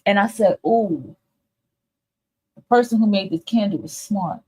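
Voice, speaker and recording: A woman's voice: mid-range voice, measured pace, a little mellow, husky. Candid, emphatic woman streamer. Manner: candid, emphatic, repeats phrases, swears freely. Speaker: host of a spiritual advice livestream. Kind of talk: casual, informal motivational monologue to listeners.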